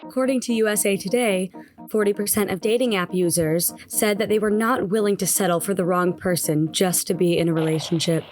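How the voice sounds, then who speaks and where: A woman as mid-pitched factual announcer. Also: brisk, a little mellow, close to an everyday voice. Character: factual female announcer reciting relationship statistics. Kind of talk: scripted narration of statistics.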